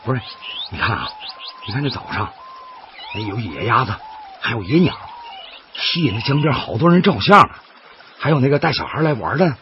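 An actor playing an earnest, stubborn, cheerful laid-off worker in a radio drama, coaxing and folksy, with a Northeastern Chinese accent. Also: male voice, medium pitch, big pitch swings, brisk, pauses often, close to an everyday voice. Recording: dull lo-fi audio, loud background noise.

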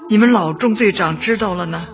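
An actress in a radio drama playing a warm, devoted elderly woman community secretary who talks affectionately and emphatically. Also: medium pitch, brisk, creaky, natural voice. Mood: fond, reminiscing. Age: older. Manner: affectionate, emphatic, sighing interjections. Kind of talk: Chinese radio drama acting. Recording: muffled, music behind.

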